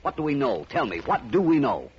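A man playing a frustrated, sharp private investigator in a 1950s radio drama, with emphatic delivery. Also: medium pitch, huge pitch swings, brisk, husky, exaggerated voice. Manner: emphatic, rhetorical questioning. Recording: muffled, noisy old radio recording.

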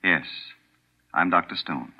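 A calm, sinister doctor speaks in a very deep voice. He sounds unhurried and quietly menacing. The sound is dull and lo-fi, like a 1940s radio broadcast. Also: creaky, slightly rough, full character voice. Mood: coolly menacing. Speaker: doctor character.

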